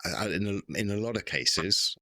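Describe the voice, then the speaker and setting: A man hosting a casual podcast conversation, speaking in a halting way with pauses and repeated words. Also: on the low side, pushed and bright, no room sound, clean studio sound. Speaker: podcast host.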